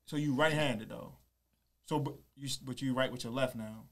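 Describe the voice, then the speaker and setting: A man's voice: mid-range voice, moderate pace. A man co-hosting a podcast, asking skeptical, teasing questions in casual chat. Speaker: podcast co-host.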